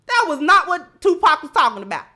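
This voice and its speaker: High, bright-voiced American woman. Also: sing-song, brisk. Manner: emphatic, repeats phrases for effect; informal colloquial speech. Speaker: livestream host. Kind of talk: opinionated commentary, reading out viewer comments.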